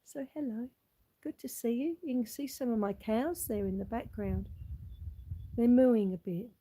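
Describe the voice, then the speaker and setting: A middle-aged Australian woman with a husky, hoarse voice from a cold, chatting casually as she greets viewers on a live stream from her homestead, filming on her phone outdoors. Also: a little high, measured pace, dark tone, rough recording. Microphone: phone camera.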